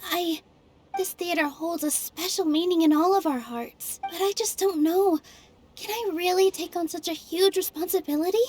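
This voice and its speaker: A woman voicing a gentle, self-doubting young female theater dancer who speaks softly and earnestly, in a game cutscene. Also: very high voice, normal speed. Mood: unsure. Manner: soft, hesitant, earnest.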